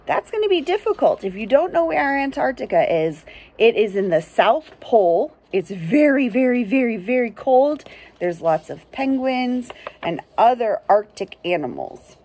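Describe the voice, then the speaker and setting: A woman's voice: fairly high pitch, sing-song, moderate pace, a little mellow. A young woman reading a picture book to children as a librarian, explaining simply and checking in with her listeners. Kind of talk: children's read-aloud with asides. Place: outdoors under trees by a house.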